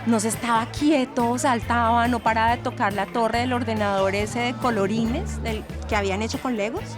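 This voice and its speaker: An actress playing a chatty former student with a Latin American Spanish accent, giving gossipy, fondly exasperated testimony in an audio drama. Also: female voice, a little high, fairly even intonation, normal speed, over music, close to an everyday voice. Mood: animated recounting. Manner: gossipy, emphatic, sprinkles in English words.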